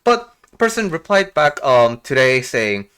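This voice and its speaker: A man from Korea talking casually and reflectively to viewers as a life coach, halting often and posing rhetorical questions. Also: a little high, very animated, a bit bright, clear, resonant tone. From South Korea. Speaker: life coach and video host.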